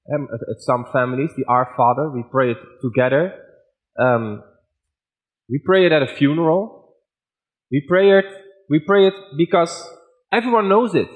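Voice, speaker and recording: A man with a Dutch accent, a guest preacher giving a sermon in simple, plain English at a measured pace. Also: fairly high pitch, phone-quality sound.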